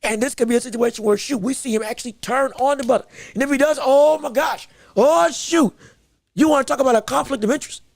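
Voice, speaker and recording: A man hosting his own sports podcast, talking with a scratchy voice, rambling excitedly with lots of fillers and restarts. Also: very high-pitched, fast, creaky, no room sound, studio quality. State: scratchy, strained voice. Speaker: podcast host. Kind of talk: solo sports and wrestling podcast chat.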